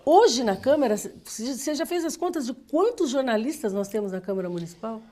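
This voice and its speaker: A Brazilian woman hosting a live local TV show, speaking quickly with a bright, emphatic delivery. Her voice sits a little high and sounds stuffy and nasal from a cold. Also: lively intonation. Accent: Brazilian Portuguese. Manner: fast, chatty, emphatic. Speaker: TV talk show host. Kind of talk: live TV interview and read-in ad.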